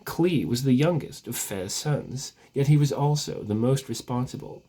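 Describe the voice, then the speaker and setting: A man with a husky voice reads a storybook legend aloud as narrator, stretching words with theatrical emphasis. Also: medium pitch, warm tone, low-quality recording.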